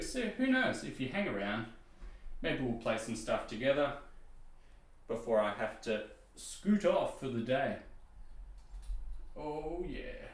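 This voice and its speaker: A man with a low speaking voice chatting casually and self-deprecatingly to his viewers, rambling with lots of fillers. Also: echoey room. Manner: easygoing, rambling, self-deprecating, many "you know" and "uh" fillers. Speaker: VTuber streamer. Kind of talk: casual chat to stream viewers while restringing a guitar.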